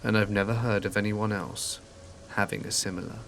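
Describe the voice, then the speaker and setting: A man calmly reading true scary stories aloud in an even, scripted storytelling manner. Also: fairly low pitch, brisk, creaky, slight background noise. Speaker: narrator of submitted stories. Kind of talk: calm scripted horror narration. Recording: faint rain ambience behind.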